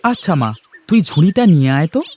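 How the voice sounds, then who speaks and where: A man's voice: on the high side, big pitch swings, quick pace, mellow, a little hiss or hum. A man playing a kind, bewildered poor village fisherman in a fairy-tale cartoon, exclaiming and repeating his words in wonder. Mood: earnest. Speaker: cartoon voice actor. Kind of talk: Bengali moral fairy-tale cartoon acting.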